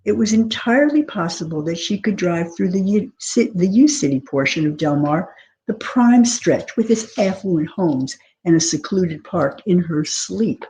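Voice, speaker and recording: A woman with a fairly low, soft-edged voice reads literary narration. The delivery is expressive and scripted, as an author reading a novel. Some noise sits behind the voice. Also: measured pace, poor audio. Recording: clear background noise.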